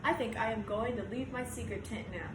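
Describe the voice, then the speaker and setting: A woman's voice: fairly high pitch, a little fast, lightly acted. Woman reading as an imaginative little boy, echoey. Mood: nervous. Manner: exclaiming, childlike phrasing. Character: imaginative young boy, boastful yet easily scared. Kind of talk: storybook read-aloud. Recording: roomy echo, clear background noise.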